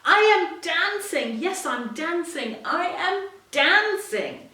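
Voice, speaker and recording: A woman voicing a sensitive male giraffe in a storybook, emotional and expressive. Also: very high voice, slow pace, voice pushed up, heavily acted. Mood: overjoyed. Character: clumsy, sensitive male giraffe, downcast then joyful. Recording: echoey room, distant mic.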